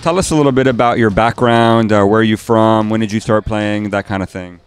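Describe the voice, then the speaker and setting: A man's voice: on the low side, fairly quick, smooth. A male scouting reporter asking interview questions, with frequent hesitations and fillers.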